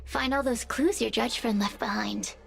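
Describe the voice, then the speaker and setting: An actress voicing a hungry, sinister female fire spirit in a video game, speaking in a theatrical, teasing, seductive way with breathy moments. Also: a little high, normal speed, soft background music. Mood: sly, persuasive. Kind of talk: video game character acting.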